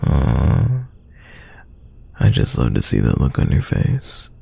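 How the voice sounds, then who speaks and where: Man acting a very deep, gravelly teasing crush. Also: huge pitch swings, slow pace, full character voice. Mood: playful teasing. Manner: playful, teasing, soft close delivery. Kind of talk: romantic roleplay audio, intimate one-sided dialogue.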